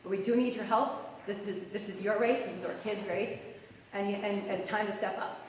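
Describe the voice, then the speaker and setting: A young woman with a Long Island accent talks fast and earnestly, her voice a little hoarse. She is heard from far off in an echoey hall with a long tail of reverb, and faint room noise sits under her words. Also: medium pitch, steady tone. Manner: fast-talking, earnest appeal. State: losing her voice. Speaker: campaign manager. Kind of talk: speech at a club meeting. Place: meeting room.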